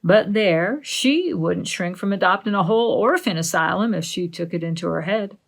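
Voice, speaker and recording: A woman voicing a stern, practical middle-aged farm woman, measured and matter-of-fact, in a storybook reading. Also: fairly low pitch, gravelly. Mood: dry.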